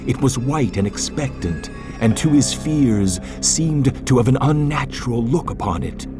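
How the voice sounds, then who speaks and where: A man's voice: medium pitch, animated, measured pace. A man narrating a classic horror story in a dramatic, suspenseful, theatrical way. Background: music.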